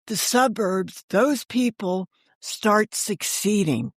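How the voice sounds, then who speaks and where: A woman's voice: medium pitch, lively intonation, slow pace, dry recording. An older woman talking as an interview guest, telling stories conversationally and correcting herself as she explains. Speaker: guest, author and editor.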